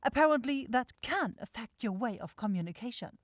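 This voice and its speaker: A theatrical horned demon shopkeeper, played by a woman, effusive and sure of herself. Her voice sits fairly high and is recorded dry, in fantasy roleplay style. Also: expressive, brisk, no room sound. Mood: effusive, confident. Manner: effusive, self-assured sales patter. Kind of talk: fantasy ASMR roleplay acting.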